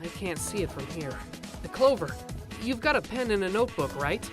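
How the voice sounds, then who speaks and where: A woman voicing a casual, excitable young male college student in a game fan dub. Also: mid-range voice, a little fast, lightly acted. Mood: casual, puzzled. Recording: music playing behind the voice.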